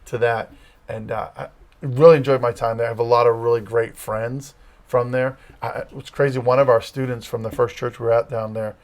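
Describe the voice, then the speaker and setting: A middle-aged man with a Massachusetts accent rambles easily through his stories in a relaxed guest chat. He leans on fillers and restarts, and his pitch sits in the ordinary middle range for a man. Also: brisk. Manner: rambling, storytelling, many fillers. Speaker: campus ministry leader, guest. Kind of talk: casual interview chat.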